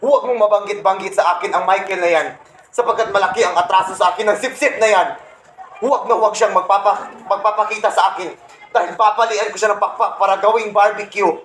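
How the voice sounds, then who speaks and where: Satan for a comic radio play, acted by a young man: high voice, pompous, bitter, scornful, in an echoey room. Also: steady tone, fast talker, fairly bright tone. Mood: scornful, irritated. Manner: pompous, scornful, punctuated with cackling laughs. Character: boastful, resentful male Satan, fallen angel leader of demons. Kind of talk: comedic radio play acting. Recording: roomy echo, faint background noise.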